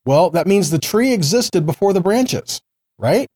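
A man with a fairly high yet mellow voice gives an informal Bible lesson. He moves between reading scripture and explaining it in a didactic manner. He often puts rhetorical questions to the listener and seeks agreement. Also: animated, a little slow. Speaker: Bible teacher.

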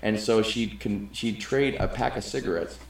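A man's voice: fairly low pitch, moderate pace, creaky. A middle-aged man co-hosting a podcast, talking earnestly in a rambling way and often restarting his sentences. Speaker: podcast co-host. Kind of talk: casual conversation. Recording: roomy, echoey sound.

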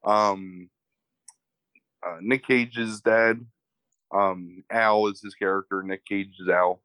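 A young man, congested, describing a movie in a casual, halting way full of fillers. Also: on the low side, fairly even intonation, a bit bright, smooth, no room sound, rough recording. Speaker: movie podcast co-host. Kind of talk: casual film review chat.

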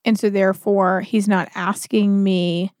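A woman with a mid-pitched voice speaks as a podcast co-host in a casual religious discussion. She is reflective and conversational, often saying "like" as she works through a thought. The recording is dry, with no room echo. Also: little pitch movement, measured pace, darkish tone.

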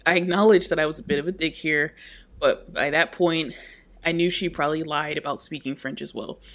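A woman co-hosting a podcast, reading a post aloud in an animated way and laughing at herself. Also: deep voice, a little fast. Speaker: podcast co-host.

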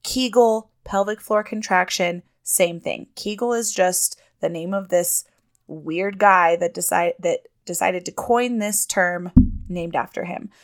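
A woman's voice: on the low side, measured pace. Woman in her 30s, podcast host, chatty and emphatic, slightly congested. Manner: chatty, emphatic, laughs often. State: sniffly and congested. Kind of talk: casual podcast talk.

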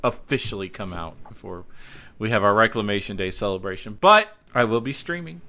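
A man hosting a podcast, chatting casually about gaming news in an excitable, slangy way, repeating words for emphasis. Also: lowish voice, fairly quick. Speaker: podcast host and game streamer.

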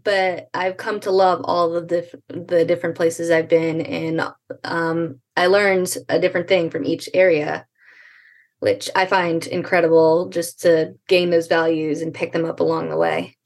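A young American woman, a podcast guest, talking thoughtfully with frequent ums and pauses. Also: fairly low pitch, flat delivery. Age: young adult. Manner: thoughtful, hesitant, many ums. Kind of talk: casual interview.

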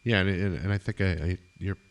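Middle-aged male podcast host, deep voice, easygoing and rambling. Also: fairly quick, gravelly. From eastern United States. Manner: relaxed, filler-heavy, trails off quietly. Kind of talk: casual interview chat.

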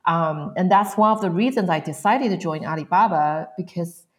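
A woman's voice: lowish voice, moderate pace. A woman from northern China in her thirties, speaking as a guest in a casual interview, using many "um" fillers. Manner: frequent "um" fillers, soft laughs.

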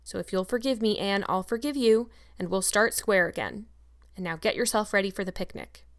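A woman reading an audiobook voices a stern, sharp-tongued older farm woman who talks in a brisk, scolding, no-nonsense way. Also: mid-range voice, lively intonation, no room sound, clean studio sound, natural voice. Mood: relenting.

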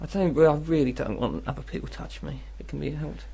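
A man's voice: on the high side, fast talker, gravelly, dark tone, breathy edge. A British man co-hosting a radio show with casual comic banter, teasing and often incredulous.

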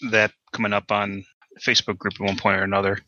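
A man's voice: on the low side, completely flat delivery, fast talker, pushed and bright. A man co-hosting a podcast interview, asking questions casually over a lo-fi connection. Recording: dull lo-fi sound, faint noise.

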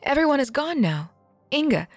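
A woman voicing a young fanged woman, distraught and pleading in a high, strained voice. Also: expressive, normal speed, faint music behind.

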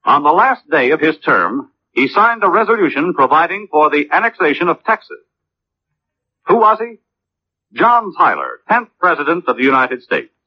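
A man's voice: a little high, very animated, normal speed, husky. A man reading scripted narration as a vintage radio announcer, in a declamatory manner. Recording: muffled old radio broadcast.

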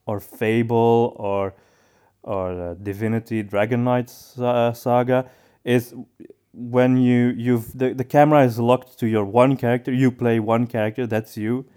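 A man co-hosting a podcast in casual discussion, with halting phrasing that often doubles back on words. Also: medium pitch, little pitch movement, warm tone, clean tone. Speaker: podcast co-host.

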